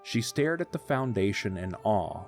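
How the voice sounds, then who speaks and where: A man narrating an audio drama in a calm, measured storytelling manner. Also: lowish voice, vocal fry. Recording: soft music behind.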